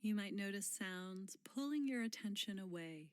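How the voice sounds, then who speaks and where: A woman leading a guided mindfulness meditation in a gentle, unhurried manner, pausing often and letting phrase endings fall soft and breathy. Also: mid-range voice, lively intonation, no room sound, studio quality.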